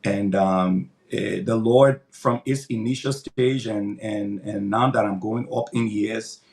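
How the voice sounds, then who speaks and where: An older man speaks with a low, gravelly voice and a rough edge of fry. He answers interview questions like a pastor, earnest and emphatic. He often repeats words as he builds toward a point. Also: fairly even intonation, takes it slowly, darkish tone. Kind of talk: interview answers, preaching cadence.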